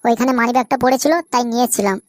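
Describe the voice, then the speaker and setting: A woman's voice: fairly high pitch, fairly even intonation, rapid-fire, background noise, natural voice. A woman voicing a foul-mouthed, hot-tempered young village lad who swears and quarrels. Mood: angry. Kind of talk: comic cartoon voice acting.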